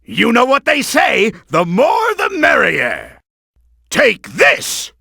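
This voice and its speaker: A man's voice: extremely high pitch, wildly swooping pitch, takes it slowly, creaky, sharp tone, husky, dry recording, shouting, clean studio sound, exaggerated voice. A man voicing a bombastic, scheming middle-aged mad-scientist villain in a video game, theatrical and blustering, with a hot temper. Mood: angry, threatening.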